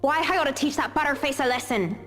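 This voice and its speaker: A woman's voice: sits high, fast, fairly bright tone. A woman voicing a brash, protective teenage schoolgirl who talks tough, cocky and teasing. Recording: reverberant game audio with music.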